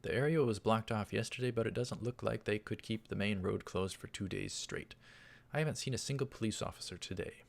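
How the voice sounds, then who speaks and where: A man's voice: fairly low pitch, a little fast, gravelly. A man hosting a let's play, reading visual novel text aloud and adding casual, offhand comments. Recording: music behind the voice. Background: game music.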